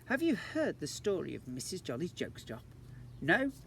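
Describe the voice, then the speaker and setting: A man's voice: fairly high pitch, huge pitch swings, normal speed, gravelly, fairly bright tone. A man, a teacher, reading a storybook aloud to young children outdoors, playfully adding questions and asides. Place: outdoors in a garden. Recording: faint outdoor background noise.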